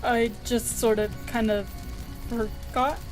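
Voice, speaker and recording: A woman's voice: medium pitch, fairly even intonation, moderate pace, close to an everyday voice, rough recording. A woman voicing a tired, easygoing male skeleton older brother in a comic dub, speaking casually. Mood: sheepish. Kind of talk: animated comic dub acting. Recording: music behind the voice.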